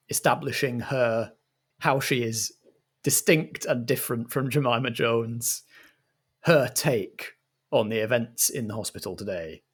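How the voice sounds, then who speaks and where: Male game master, mid-pitched, slow and measured, introducing scenes for improvised audio roleplay.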